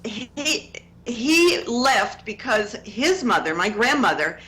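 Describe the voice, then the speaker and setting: A woman in her seventies from Connecticut, a guest explaining her activist group in slow, earnest interview answers. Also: medium pitch, fairly bright tone, rough recording. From Connecticut, USA.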